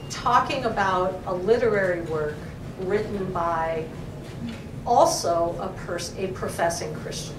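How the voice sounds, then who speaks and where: Woman, professor at a library talk, earnest, searching for words, distant mic, noisy echoey room. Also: mid-range voice. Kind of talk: discussion in an academic talk.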